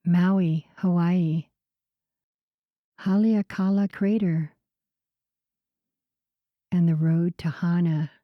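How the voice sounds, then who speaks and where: A woman guiding a sleep meditation in a soothing, unhurried way, with a soft voice that turns breathy at the ends of phrases. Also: lowish voice, steady tone, no room sound, dull audio. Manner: soothing, unhurried, gentle pauses. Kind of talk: slow guided sleep meditation narration.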